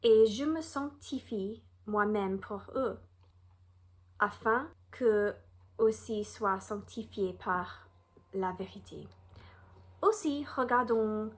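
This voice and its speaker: A woman from the United States, a native English speaker with an American accent, reading a Bible lesson in careful, deliberate short phrases. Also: medium pitch. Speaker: Bible teacher.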